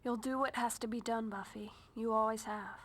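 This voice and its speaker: A woman's voice: a little high, fairly even intonation, moderate pace, close to an everyday voice. An actress playing an earnest, supportive young witch in a video game. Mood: reassuring. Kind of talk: video game cutscene dialogue.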